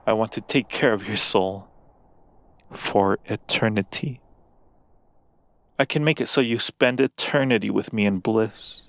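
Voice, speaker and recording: A man's voice: fairly low pitch, fairly bright tone, dry recording. A man playing a seductive, coaxing demon in an ASMR roleplay, speaking with silky, formal persuasion to a mortal. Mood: coaxing, possessive. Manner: slow, silky persuasion with formal, deliberate wording.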